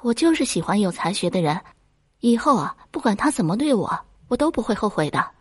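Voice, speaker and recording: A woman voicing an earnest, lovestruck young daughter in a folk tale, with an expressive, pleading delivery. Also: medium pitch, fairly quick, breathy edge, no room sound, clean studio sound, voice pushed up. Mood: stubborn, resolute.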